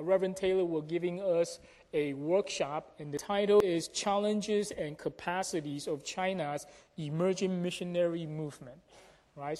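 A pastor giving announcements and a sermon to a congregation in a measured, explanatory way, with check-in questions to the listeners. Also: medium pitch, a little mellow. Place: church sanctuary during a service. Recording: reverberant hall sound.

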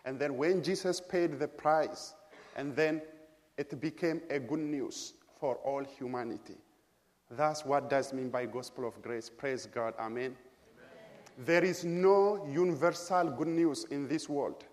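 Ethiopian-accented man preaching in a hall. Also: a little high. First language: Amharic. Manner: measured, halting with frequent pauses and fillers. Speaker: guest preacher. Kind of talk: sermon. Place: church sanctuary.

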